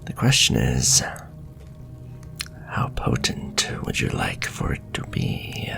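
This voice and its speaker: A man playing a suave, flirtatious, sinister warlock shopkeeper in a fantasy ASMR roleplay, speaking softly and courteously and drifting into breathy whispers. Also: very deep voice, huge pitch swings, slow pace, over music, exaggerated voice. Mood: sly, bargaining.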